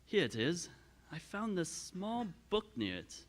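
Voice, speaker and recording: An actor playing a curious, bookish camper in radio drama dialogue. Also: male voice, high voice, very animated, a little slow, exaggerated voice. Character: curious, bookish male camper taking charge.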